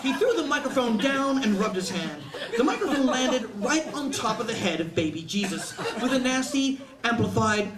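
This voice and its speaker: Male author, live comic story reading, animated delivery. Echoey room, off-mic sound, audience present. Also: very high-pitched, normal speed, far from the mic, over a noisy crowd. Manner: animated comic storyteller, acts out quoted lines. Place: indoor bookstore reading event. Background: laughing audience.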